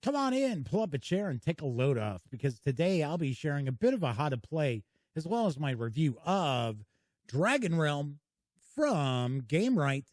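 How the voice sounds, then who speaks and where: Folksy, animated man hosting a game review. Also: on the high side, moderate pace, no echo, studio quality. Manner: folksy, chatty and welcoming. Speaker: board game reviewer and channel host. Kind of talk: casual board game review to camera. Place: small home studio.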